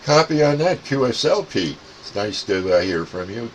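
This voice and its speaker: Very deep-voiced American man on CB radio. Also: very animated, moderate pace, slight background noise, rough recording. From upstate New York, USA. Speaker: amateur CB radio operator, channel co-host. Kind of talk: casual radio contact chat.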